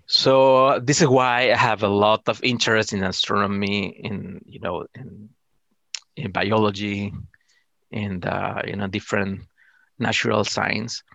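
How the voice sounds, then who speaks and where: A middle-aged man from El Salvador with a Salvadoran Spanish accent, answering casually in halting English, often repeating and rephrasing his words. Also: fairly low pitch, level tone, creaky, fairly bright tone, no echo. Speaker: interview guest, amateur astronomer.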